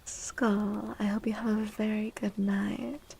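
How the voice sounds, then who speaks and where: Woman, soft ASMR host, mellow, creaky, gentle, whispery, unhurried. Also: mid-range voice, little pitch movement, a little hiss or hum. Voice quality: breathy, whispery. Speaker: livestream host. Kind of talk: soft-spoken ASMR, tarot reading and chatting with viewers.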